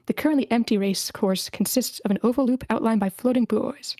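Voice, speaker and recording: A woman's voice: on the high side, brisk, warm tone, dry recording, studio quality. A woman hosting a screenplay table read, switching between informal, encouraging chat and narration of stage directions. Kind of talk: casual hosting and script narration.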